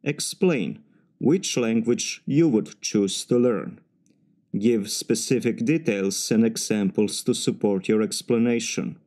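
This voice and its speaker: A man with a Serbian accent giving formal, measured practice answers for a timed speaking exam. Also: fairly low pitch, warm tone. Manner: formal, measured, slightly stiff phrasing. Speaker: mechanical engineer practicing test answers.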